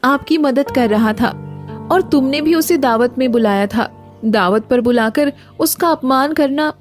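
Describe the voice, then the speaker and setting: An earnest, affectionate village wife from a cartoon moral tale, played by a woman. The voice sits high. She is polite and humble in her delivery, with faint music underneath. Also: measured pace. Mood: regretful. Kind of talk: animated moral story dialogue.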